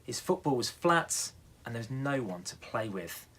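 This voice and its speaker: A man's voice: medium pitch, big pitch swings, moderate pace, fairly bright tone, husky. A male radio newsreader narrating a children's bedtime story.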